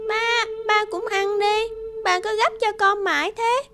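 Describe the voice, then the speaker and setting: A woman's voice: extremely high pitch, fairly even intonation, unhurried, loud music behind the voice. A woman voicing a cheerful, affectionate young schoolgirl in a cartoon. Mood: concerned. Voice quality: bright, affectionate.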